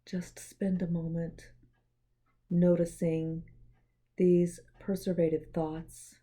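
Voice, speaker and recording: A woman leads a guided meditation in a lowish, husky voice. Her tone is very mellow and relaxed. She speaks gently and in a measured way, leaving breathy pauses between phrases. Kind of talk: guided meditation, soothing scripted narration.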